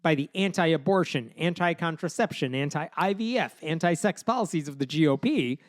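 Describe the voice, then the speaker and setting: A man's voice: a little high, expressive, moderate pace, dry recording, clean studio sound. A man co-hosting a podcast, walking through poll numbers and arguing his points in casual chat. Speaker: podcast host.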